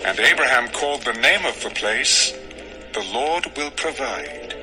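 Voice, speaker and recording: A man narrating a dramatized scripture text in a solemn, measured, formal style. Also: mid-range voice, animated, rough recording. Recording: music under the voice.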